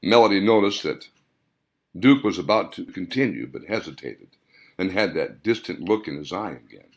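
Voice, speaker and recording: A man narrates a story in a low voice that moves expressively up and down. He reads deliberately, in audiobook fashion, with dramatic emphasis and a noticeable gravelly creak. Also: expressive. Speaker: author reading own novel.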